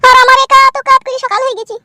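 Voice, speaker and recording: A man voicing a coy, complaining young newlywed village wife in a comic cartoon, with a rural West Bengal accent and a pitched-up voice. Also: fairly even intonation, very fast, background noise. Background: music.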